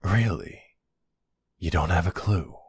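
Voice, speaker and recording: Casting: male actor as supportive boyfriend for intimate roleplay audio; low, very husky voice, halting and gently questioning. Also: expressive, no echo, heavily acted. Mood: gentle concern. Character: supportive, puzzled boyfriend of a werewolf, adult man.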